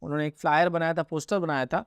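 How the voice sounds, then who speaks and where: A man's voice: fairly high pitch, little pitch movement, racing, smooth, dry recording, studio quality. A man with an Indian accent answering religious questions informally as a scholar, explaining and often checking that listeners follow.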